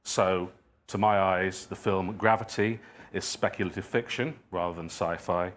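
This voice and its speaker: Low-voiced man giving a reflective talk. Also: fairly even intonation, normal speed, fairly bright tone. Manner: reflective storytelling, wry asides. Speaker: speaker giving a talk, writer of plays. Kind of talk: prepared talk with personal asides.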